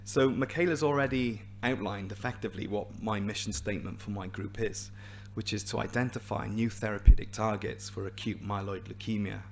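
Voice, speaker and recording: A man with a hoarse, raspy voice giving a scientific seminar to a live and online audience, explaining clearly with a few restarts. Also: fairly low pitch, steady tone, brisk, hardly any pauses, darkish tone, a little hiss or hum. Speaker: invited seminar speaker, hematologist.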